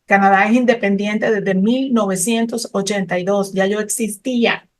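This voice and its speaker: A woman's voice: medium pitch, steady tone, normal speed. A middle-aged woman from Colombia, talking passionately and technically about immigration law in an interview, with frequent hesitant fillers. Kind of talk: explanatory interview talk.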